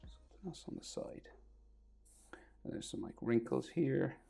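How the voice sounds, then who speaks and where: A man with a sore throat and a crackly, gravelly voice, talking casually through a drawing tutorial, often trailing off to a near whisper. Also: mid-range voice, expressive, unhurried, mellow, soft background music.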